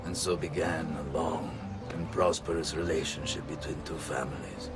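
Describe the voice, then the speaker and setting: A man's voice: very deep voice, little pitch movement, normal speed. An actor playing a dignified Florentine nobleman in a video game, solemn and formal, with an Italian accent. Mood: solemn, reflective. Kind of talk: dramatic video game acting. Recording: music behind the voice.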